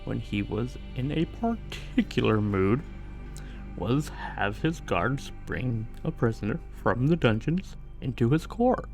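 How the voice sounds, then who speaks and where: A man playing a smug, sadistic sorcerer in an ASMR fantasy roleplay, mock-polite and menacing, with breathy drops into whispers. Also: mid-range voice. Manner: theatrical, mock-polite, menacing, drawn-out phrasing. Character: smug sadistic male sorcerer, self-styled mage king.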